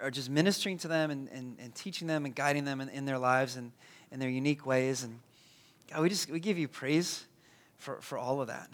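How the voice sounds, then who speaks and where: A hoarse, raspy-voiced man in his thirties, a pastor praying and then preaching, who often stumbles and repeats words. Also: mid-range voice. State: hoarse from a cold. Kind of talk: prayer and sermon.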